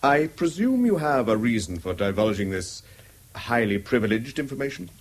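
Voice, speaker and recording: An actor playing a guarded, easily indignant country doctor in a radio drama, crisp and formal, with an English accent. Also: male voice, mid-range voice, sing-song, moderate pace. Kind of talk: 1970s British radio drama acting.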